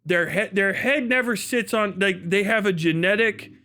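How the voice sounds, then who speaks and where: A man's voice: high-pitched, normal speed, sharp tone. An American man giving casual, profane livestream commentary in a crude, mocking, rambling way. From United States. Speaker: livestream host reacting to a video.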